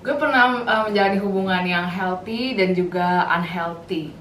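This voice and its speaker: A woman's voice: mid-range voice, moderate pace, fairly bright tone. A nineteen-year-old woman student, talking casually in a discussion. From Indonesia. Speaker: university student. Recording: echoey room, faint noise.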